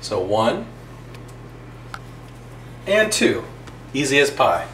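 A man's voice: medium pitch, drawn-out words. A young man hosting a spoof cooking show, recorded on a camera mic set back from him in a home kitchen. His voice bounces around the room and carries a layer of hiss. He tells ridiculous stories about the food with a straight face. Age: young adult. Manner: deadpan, absurd tall tales told straight. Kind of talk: mock cooking show, deadpan parody. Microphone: camera mic from a few feet away. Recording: echoey kitchen, distant camera mic, noisy.

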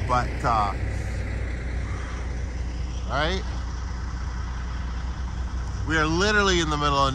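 Chatty man vlogging from a noisy road trip. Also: mid-range voice. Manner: chatty, excitable, talks straight to viewers. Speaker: car vlogger. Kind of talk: casual road-trip vlog. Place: car interior and roadside rest stops outdoors. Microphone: phone or camera held at arm's length. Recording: noisy road and car background.

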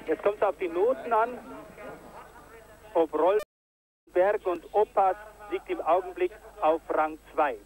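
Man, ski jumping commentator, high voice, excited on big jumps, old broadcast tape. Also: takes it slowly, pauses often, rough recording. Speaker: sports commentator.

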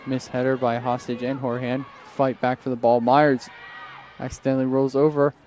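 A young man doing live play-by-play for a night soccer game outdoors, his voice strained, getting louder on attacks and correcting himself often. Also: mid-range voice, level tone, moderate pace. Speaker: play-by-play commentator. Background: crowd noise.

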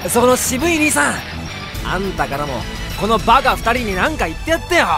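An actor playing an easygoing, breezy young wanderer in an audio drama, chiding hotheads in casual speech as an exasperated peacemaker. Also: male voice, very high voice, very animated, moderate pace, over music, exaggerated voice. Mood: bewildered. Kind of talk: Japanese anime-game audio drama acting.

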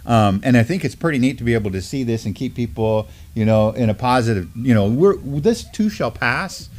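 A man's voice: medium pitch, expressive, normal speed, a little mellow. A middle-aged American man hosts a news chat with a mid-range voice. He talks informally and with enthusiasm, often circling back over his phrases as he moves between items. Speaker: news show host. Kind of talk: casual news discussion. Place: indoor studio at a table.

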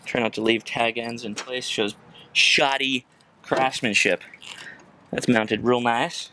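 A man's voice: mid-range voice, steady tone, slow pace, bright, forceful tone. A man in his thirties giving a casual hands-on tutorial, thinking aloud as he works. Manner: casual, chuckling, thinking aloud while working. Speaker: DIY presenter. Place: outdoors at an open car. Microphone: camera set near the work. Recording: clear background noise, outdoor ambience.

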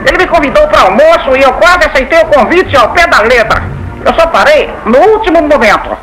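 A man's voice: extremely high pitch, lively intonation, brisk, fairly bright tone, low-quality recording. A man voicing an anxious, stammering male cartoon duck in a Brazilian dub, confessing and pleading like a nervous patient. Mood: anxious recounting. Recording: music behind the voice.

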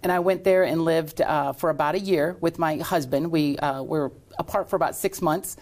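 A middle-aged woman, a salon owner, answering interview questions in a relaxed storytelling way with plenty of ums. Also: low-pitched, normal speed. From Lexington, Kentucky. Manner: warm storytelling with frequent fillers.

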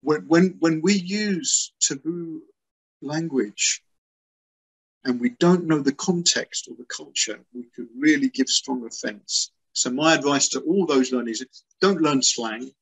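A man in his sixties from England, a guest author on a video call from a small room at home, explaining with lively, emphatic repetition. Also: mid-range voice, breathy edge, poor audio. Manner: animated, gestures as he explains; lively, emphatic with repeated words. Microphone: laptop webcam on a video call.

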